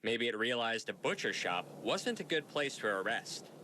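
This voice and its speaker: A man's voice: mid-range voice, very animated, moderate pace, bright, forceful tone, slight background noise. A man reading a scripted TV news feature with a playful, punning delivery. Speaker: news reporter.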